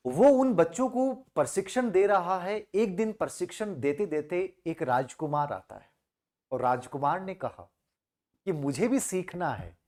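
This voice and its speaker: A man's voice: a little high, darkish tone. A man telling a moral story as a teacher, emphatic, repeating phrases and asking rhetorical questions. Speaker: teacher and motivational speaker.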